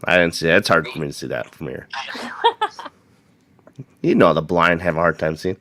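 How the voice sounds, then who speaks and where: Deep-voiced man questioning spirits. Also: moderate pace, background noise. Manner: joking, direct questioning. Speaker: paranormal investigator. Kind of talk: questioning spirits, joking banter.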